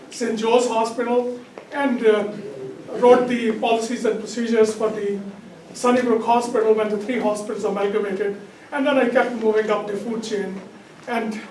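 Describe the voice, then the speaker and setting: A middle-aged man with a Pakistani accent and a hoarse throat, giving a halting campaign speech as a political candidate. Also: high voice, darkish tone. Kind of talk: campaign speech to a community audience. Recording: echoey hall, distant mic, background noise.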